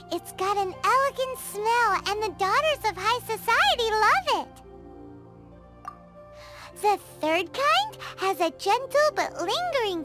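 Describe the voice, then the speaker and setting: A woman's voice: very high-pitched, full character voice. A woman voicing a tiny, chirpy, childlike fairy companion with a curious, cheeky manner in a video game. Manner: refers to self in third person, eager, exclamatory. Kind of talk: English video game voice acting.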